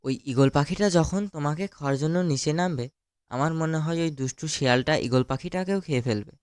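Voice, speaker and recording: A man as a smooth-voiced, helpful animal friend. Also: on the high side, quick pace, darkish tone, no echo, clean studio sound, close to an everyday voice. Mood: earnest, warning. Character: helpful, watchful animal friend warning of danger. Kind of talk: children's cartoon voice acting.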